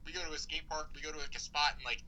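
A man's voice: on the high side, steady tone, rapid-fire, bright, forceful tone, slight background noise, low-quality recording. A young American man speaking casually and informally as an interview guest, in a rambling, conversational way. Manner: rambling, informal, frequent "like" and swearing. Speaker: podcast guest, BMX rider.

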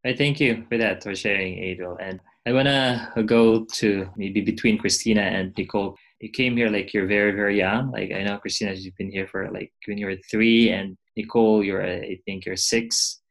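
Moderator, man, lowish gravelly voice, polite and hesitant. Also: fairly even intonation, normal speed, few pauses, poor audio. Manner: polite, hesitant, with thanks and follow-up questions. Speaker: panel moderator. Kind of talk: moderating a panel discussion.

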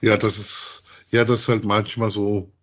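A man, chatting casually as a podcast co-host, thinking aloud haltingly and repeating himself. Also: fairly low pitch, little pitch movement. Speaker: podcast co-host reviewing audio dramas. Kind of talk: casual chat, reviewing audio plays.

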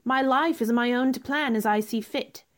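A woman's voice: a little high, moderate pace, close to an everyday voice. A woman playing a spirited, self-possessed young lady in a radio play, speaking politely but firmly and with a stubborn streak. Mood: defiant. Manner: polite but firm, pointed. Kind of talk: radio theater acting.

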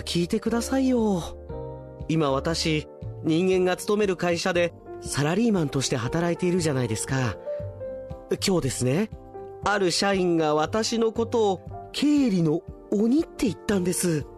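A man's voice: sits high, lively intonation, moderate pace, darkish tone, faint music behind. A man voicing an earnest, polite demon who works as a strict, anxious office accountant in a comic radio drama. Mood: worried. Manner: prim, polite speech, anxious and stiff.

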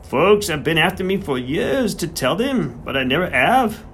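A man's voice: a little high, big pitch swings, normal speed, a bit bright, a little hiss or hum, voice pushed up. A man voicing a small, elderly, beery retired smallholder, who talks in a conspiratorial, rustic way. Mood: secretive. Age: older. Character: wily beery little old male horse doctor.

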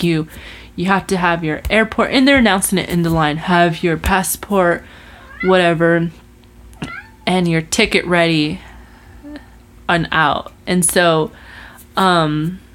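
A young woman with a lowish voice, talking casually and rambling through a story. She stops and restarts often and fills gaps with "like" and "um.". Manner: chatty storytelling with many fillers and restarts. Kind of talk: casual chat.

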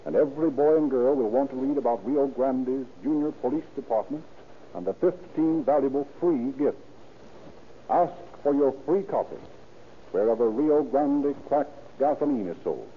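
A man's voice: mid-range voice, normal speed, narrow, tinny audio. A man narrating and announcing in a formal, declamatory 1930s radio style. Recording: thin, hissy 1930s radio recording.

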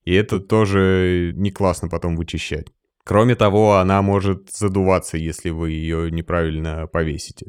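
A man with a low voice talks through microphones as a guest sound engineer. He explains things in a practical way and adds lively emphasis now and then. The recording is dry and close, with no room sound. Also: no room sound. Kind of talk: explaining podcast gear in conversation.

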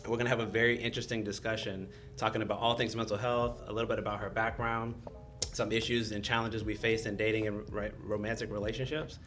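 A man's voice: on the low side, little pitch movement, quick pace, a bit bright, soft background music. A man hosting a podcast interview, friendly and encouraging, often giving short acknowledgements as his guest talks. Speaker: podcast host, counselor. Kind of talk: conversational interview.

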